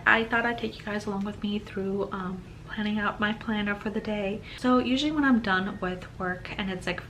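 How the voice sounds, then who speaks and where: A woman's voice: mid-range voice, a bit bright, slight background noise, rough recording. A woman in her thirties narrating a planner video in a chatty, rambling way, her voice a little off from a cold she is getting over. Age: 30s. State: slightly off voice from a cold. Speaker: planner vlogger.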